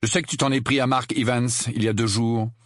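A man's voice: mid-range voice, fairly even intonation, fast talker, no echo, pitched above the performer's own voice, natural voice. A man voicing a defiant, sarcastic teenage boy who taunts and mocks, in a storybook reading. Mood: goading, contemptuous. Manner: mocking, taunting, dry.

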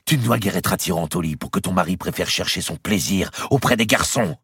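A man voicing a spiteful, proud condemned princess in an audiobook, with a hurried, breathless, passionate delivery. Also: low-pitched, fairly bright tone, slightly rough, no room sound, studio quality, pitched above the performer's own voice, heavily acted. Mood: taunting, passionate. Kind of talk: dramatic audiobook character voice.

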